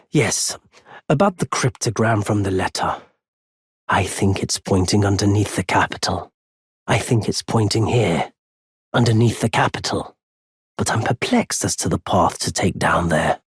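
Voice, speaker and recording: An actor voicing a solitary, world-weary wandering merchant in a fantasy video game, with a husky, raspy voice and measured, formal phrasing. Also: male voice, lowish voice, no echo, studio quality. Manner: slow, measured, formal old-fashioned phrasing. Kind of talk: dramatic fantasy game voice acting.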